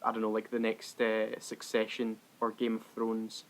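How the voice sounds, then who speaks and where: A man co-hosting a podcast in relaxed, informal conversation. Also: fairly low pitch, moderate pace. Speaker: podcast co-host. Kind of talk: casual podcast chat. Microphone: remote online call.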